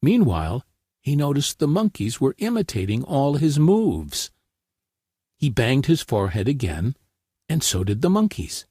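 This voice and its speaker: Male narrator, children's story reading, slightly husky, measured pace, dry close recording. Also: medium pitch, huge pitch swings, warm tone, dry recording.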